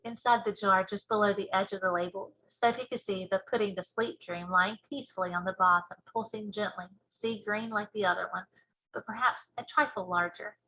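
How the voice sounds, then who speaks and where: A woman reading a children's novel aloud as a teacher, in expressive storybook narration. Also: medium pitch, brisk, low-quality recording.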